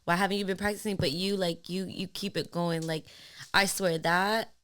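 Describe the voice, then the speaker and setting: A young woman, a lash trainer hosting a casual podcast, talking in an animated, slangy, encouraging way. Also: fairly low pitch, normal speed.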